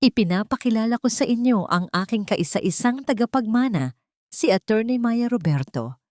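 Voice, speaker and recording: A woman's voice: on the high side, animated, normal speed, mellow, no echo, studio quality, pitched above the performer's own voice, close to an everyday voice. Woman voicing a solemn, powerful old Filipino father. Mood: commanding. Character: dignified, powerful old father defending his daughter.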